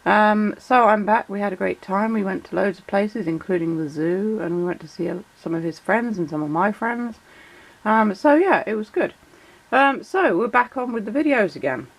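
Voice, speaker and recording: A woman with a cold talks in a croaky, slightly sore voice, chatty and loose with plenty of ums and uhs. Her pitch sits in the middle range for a woman. She walks through toy details in a relaxed vlog style. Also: moderate pace, low-quality recording. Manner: chatty, many fillers, reads out shout-outs. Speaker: toy collector vlogger. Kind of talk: casual explanatory vlog.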